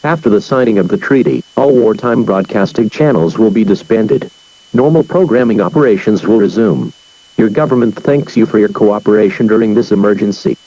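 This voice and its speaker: A man playing a government emergency broadcast announcer, reading a scripted message in an official, impersonal, husky voice. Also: fairly low pitch, brisk, mellow, low-quality recording. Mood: official, solemn.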